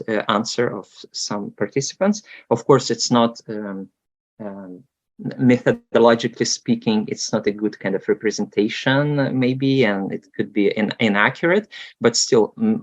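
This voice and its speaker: A man in his thirties from Moldova with a Russian accent, speaking hesitantly with many fillers as an interview guest. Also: on the low side. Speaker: guest researcher. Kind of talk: casual interview talk. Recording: dull lo-fi sound.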